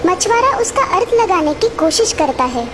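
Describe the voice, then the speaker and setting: A woman's voice: very high-pitched, sing-song, moderate pace, background noise. A woman narrating a scripted children's story. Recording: music behind the voice.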